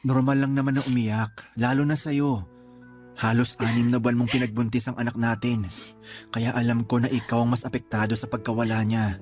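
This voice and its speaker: Guy acting a patient husband in a radio drama, earnest and reassuring, normal pitch, soft music behind. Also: little pitch movement, fairly quick, natural voice. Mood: consoling. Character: Oscar, patient, consoling, steadfast husband.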